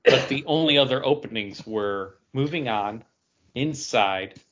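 A man's voice: medium pitch, unhurried, fairly bright tone, highs cut off. A man co-hosting a podcast, talking casually. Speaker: podcast co-host. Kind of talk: casual chat, reading out figures.

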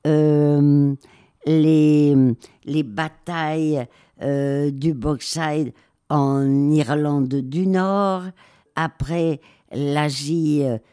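An older woman, a war photographer, answering interview questions slowly, with many pauses and hesitations. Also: deep voice, steady tone, dark tone, clean tone. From raised in Spain.

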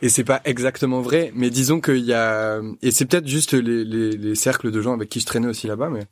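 A man talking things through in a loose, thoughtful ramble, restarting his sentences as ideas come. His voice sits at an ordinary middle pitch and is recorded close on a studio mic. There is no room sound at all. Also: a little fast, no echo. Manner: thoughtful, talks in long winding sentences with restarts. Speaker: guest musician. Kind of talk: casual podcast conversation.

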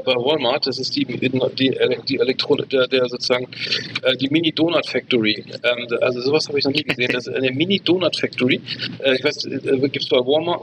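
A man co-hosting a casual podcast, rambling haltingly and repeating words as he searches for the next one. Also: medium pitch, noisy background. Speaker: podcast co-host. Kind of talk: casual chat. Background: music.

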